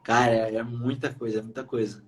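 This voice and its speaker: A man's voice: medium pitch, little pitch movement, fairly quick, a little hiss or hum. A young Brazilian man from Brazil, a podcast guest speaking casually with many fillers and hesitations. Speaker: podcast guest, iOS developer. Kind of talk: casual interview chat.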